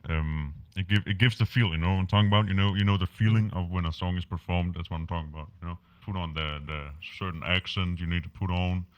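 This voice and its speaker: A man's voice: sits low, fairly even intonation, no echo, muffled recording. A Danish man speaking English as a podcast guest, talking casually with lots of fillers and restarts. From Denmark. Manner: halting, many fillers and restarts. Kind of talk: casual interview chat.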